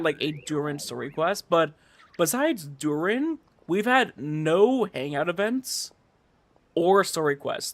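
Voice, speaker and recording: A man's voice: a little high, a bit bright, no room sound. A man streaming a video game, chatting casually and loosely with his viewers in a rambling, repetitive way. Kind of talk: casual livestream chat. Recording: game music in the background.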